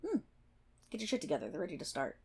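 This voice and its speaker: A woman's voice: fairly low pitch, wildly swooping pitch, quick pace, heavy background noise. A woman playing a prickly, sarcastic guild healer in an online game, scolding and swearing one-on-one in an ASMR roleplay. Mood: bossy. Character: brash, prickly tsundere MMO guild healer, a woman gamer.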